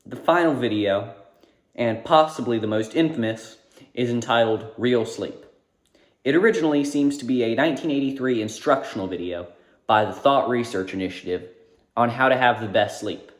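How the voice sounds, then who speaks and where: A man's voice: medium pitch, moderate pace. A man narrating a casual video essay, informal and correcting himself now and then. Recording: roomy echo.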